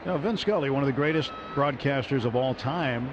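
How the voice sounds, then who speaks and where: A man doing radio commentary on a baseball game, chatting conversationally between pitches. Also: a little fast. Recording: slightly muffled radio broadcast with ballpark noise.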